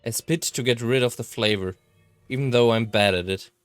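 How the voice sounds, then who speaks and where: A man reading a visual novel aloud in a halting, slightly theatrical way. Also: medium pitch, dry recording, soft background music. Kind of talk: expressive read-aloud narration of a visual novel.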